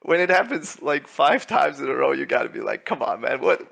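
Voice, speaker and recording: A man's voice: a little high, lively intonation, fairly quick, vocal fry, a bit bright. A young man from Switzerland, a tournament winner speaking as a guest in a casual interview. He talks in a laid-back, humble way, full of fillers and restarts. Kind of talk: casual video-call interview.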